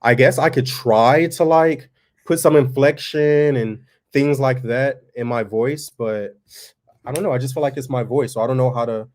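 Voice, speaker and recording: Monotone man chatting on a vlog. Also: medium pitch, moderate pace. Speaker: family farm vlogger, host. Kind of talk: casual vlog chat.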